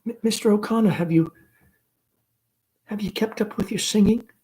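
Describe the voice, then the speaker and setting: A man reads the part of a nervous young woman in a stage play. The voice is thin, breathy and faint. Words come hesitantly, with stammered repeats, as if shyness leaves little air. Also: high voice, normal speed, warm tone, voice pushed up, natural voice. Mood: shy, hesitant. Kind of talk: play read-aloud.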